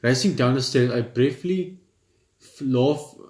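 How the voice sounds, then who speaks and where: A man's voice: mid-range voice, measured pace, darkish tone. A man playing a game on video, commenting and reading the story text aloud, tripping over words now and then. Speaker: gaming video host. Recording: roomy echo, faint game music.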